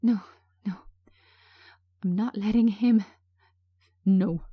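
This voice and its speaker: A woman's voice: medium pitch, fairly even intonation, mellow, breathy edge, no room sound, clean studio sound. Woman acting anxious, traumatised woman on edge. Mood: panicked, defiant. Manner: agitated, halting, repeating herself.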